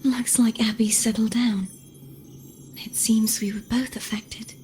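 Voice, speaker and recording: A woman voicing a gentle, solemn young woman in a video game, speaking softly and breathily with measured, weary care. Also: a little high, little pitch movement, faint music behind, close to an everyday voice. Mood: soft, reflective. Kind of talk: dramatic video game dialogue.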